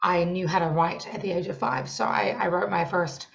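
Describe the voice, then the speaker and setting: A young woman with a New Zealand accent, a guest talking in a loud, lively way with frequent laughs. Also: on the low side, normal speed, vocal fry, lo-fi sound. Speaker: podcast guest, songwriting coach. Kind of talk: casual interview chat.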